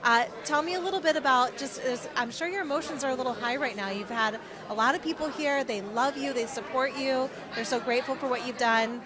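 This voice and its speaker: Female field correspondent, fairly high register, effusive and conversational, interviewing with a clear crowd around. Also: brisk, few pauses. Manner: effusive, supportive of guest. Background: crowd at an event.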